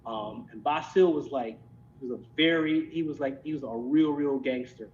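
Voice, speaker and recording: American man on a dull, noisy line. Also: a little mellow. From Philadelphia, United States. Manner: halting, repeats words. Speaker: interview guest. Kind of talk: casual reminiscing in an interview. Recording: dull lo-fi call, rumbling noise.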